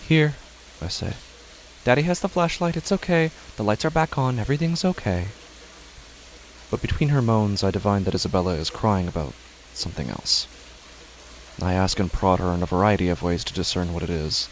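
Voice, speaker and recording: A man narrating a first-person horror story in a measured, tense way. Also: sits low, a little hiss or hum, low-quality recording.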